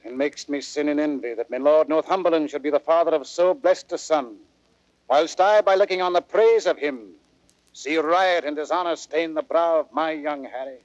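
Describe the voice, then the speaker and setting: A man's voice: fairly high pitch, moderate pace, dry recording, natural voice. A man acting a weary, imperious Shakespearean king. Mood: envious, wistful. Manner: declamatory, formal. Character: weary, proud king and troubled father, brooding and imperious. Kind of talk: classical Shakespearean radio acting. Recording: dull vintage audio recording.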